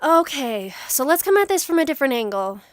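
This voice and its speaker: A woman playing a brisk, businesslike police investigator in an audio drama, questioning and in command. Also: high voice, big pitch swings, no echo, clean studio sound. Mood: probing.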